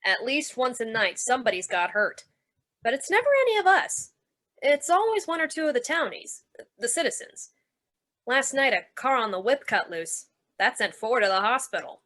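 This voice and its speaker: Lady doing tough carny manager, higher voice, sharp and forceful, needling slangy radio noir talk. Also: sing-song, normal speed. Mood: guarded, businesslike. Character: tough, suspicious carnival general manager. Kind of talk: old-time radio noir acting.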